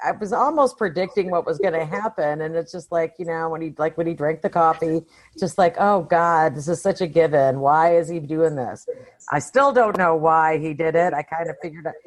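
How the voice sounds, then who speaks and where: A woman with a lowish voice co-hosts a film podcast. Her talk is casual and blunt, full of everyday colloquial phrasing. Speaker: podcast co-host.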